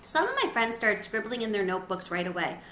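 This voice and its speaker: A middle-aged woman reading a children's story aloud expressively, with clear storytelling phrasing. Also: medium pitch, sing-song, fast talker, fairly bright tone. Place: small windowed sunroom at home. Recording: roomy echo, faint background noise.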